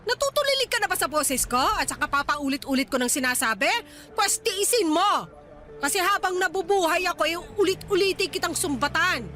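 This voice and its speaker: A woman's voice: extremely high pitch, animated, a little fast, pushed and bright, full character voice. A woman playing a harsh, blunt, scolding middle-aged mother in a Filipino radio drama. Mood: angry scolding.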